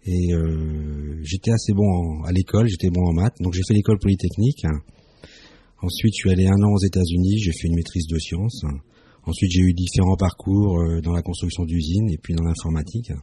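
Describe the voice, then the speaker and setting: A man's voice: deep voice, fairly even intonation, brisk, warm tone, slightly rough. A man in his fifties from France, a radio guest who speaks hesitantly and reflectively, with many fillers. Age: 50s. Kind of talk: live radio interview.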